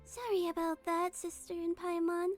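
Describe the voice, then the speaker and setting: A tiny, girlish game nurse speaks in an extremely high voice. She is gentle, earnest and polite, choosing her words in a measured way over background music. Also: flat delivery. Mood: weary, thoughtful. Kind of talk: game fan dub dialogue. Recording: music behind voice.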